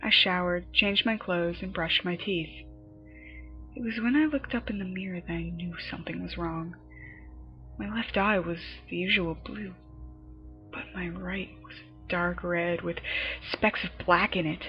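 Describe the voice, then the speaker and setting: A woman narrating a scripted horror story in a dramatic manner, her phrases often dropping into a breathy hush. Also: lowish voice, steady tone, a little slow. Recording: muffled lo-fi home recording, music behind. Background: background music.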